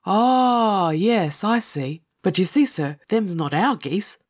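A woman's voice: medium pitch, lively intonation, takes it slowly, a little mellow. A woman playing a plain-spoken, folksy pub landlord in a dramatised audiobook. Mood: obliging.

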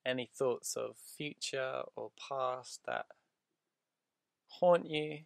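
A male meditation guide speaks very slowly and evenly, with long pauses between short phrases. His soothing voice has a gravelly fry to it and is recorded close and dry. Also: mid-range voice, no echo. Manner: soothing, deliberate, with drawn-out pauses.

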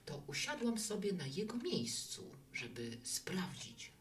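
A woman's voice: fairly low pitch, very animated, slow, distant mic. An actress playing a chatty, romantic, hospitable woman who runs a bar in an audio drama. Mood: wistful storytelling. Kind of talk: Polish audio drama acting.

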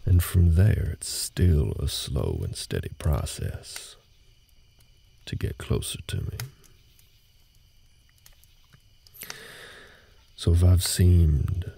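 Gruff, reserved cowboy played by a man for intimate audio drama: extremely low, slow and folksy, patient with newcomers. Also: very animated, dark tone, slight background noise, heavily acted. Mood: wry, confiding. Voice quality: gravelly, husky low voice. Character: gruff, guarded cowboy ranch hand patiently teaching a newcomer. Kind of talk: intimate scripted audio roleplay, slow speech to the listener.

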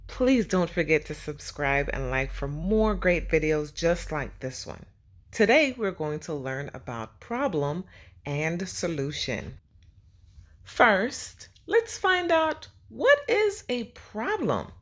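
A woman teaching young children and reading a storybook aloud, speaking simply and deliberately and putting questions to her listeners. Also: lowish voice, sing-song.

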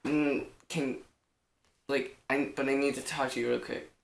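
A man's voice: mid-range voice, flat delivery, normal speed, vocal fry. A young man, chatting casually and making prank phone calls. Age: teenager or young adult. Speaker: prank caller in a challenge video. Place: indoors in a living room on a couch. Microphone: camera a short distance away.

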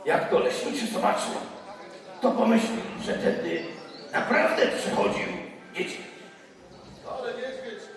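A sly, bossy forest gang boss, played by a man on stage, who sneers at his dim helpers. His voice is very high and swoops about, and the pace is slow. It sounds echoey, taken from far off in a hall. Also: lively intonation, a crowd in the background. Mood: scheming. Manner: bossy, mocking. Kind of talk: children's stage play.

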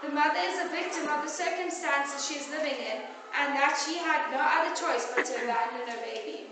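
A woman's voice: high-pitched, brisk. A teenage girl giving a school oral presentation, reading carefully from her notes with small restarts. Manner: careful, read-aloud delivery with small restarts. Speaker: student presenter. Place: classroom in front of a projector screen. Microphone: far camera. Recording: echoey classroom from far off, noisy.

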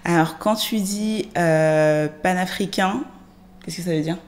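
A young woman with a slightly low voice steers the interview with curious follow-up questions. She often pauses and rephrases as she goes, speaking into a stand mic in a studio. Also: darkish tone. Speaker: guest interviewer. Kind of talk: conversational interview. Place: studio set with plants and glass-block wall.

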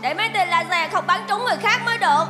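A small, earnest boy hero from a cartoon, with a very high, quick voice. He speaks urgently and righteously over loud music. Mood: brave, defiant. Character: earnest, brave young robot boy hero. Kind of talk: animated cartoon dub acting.

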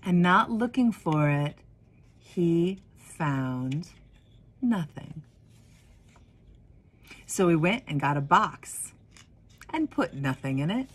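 A woman in her forties reading a children's story expressively, with deliberate pauses and emphasis. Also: fairly low pitch, big pitch swings, husky. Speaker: minister of religious education reading a picture book.

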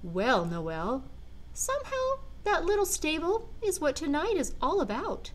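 Woman as a kindly big Christmas tree, animated. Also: sits high, a little slow, pitched above the performer's own voice, poor audio. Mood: reassuring. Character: huge, kindly, wise male Christmas tree.

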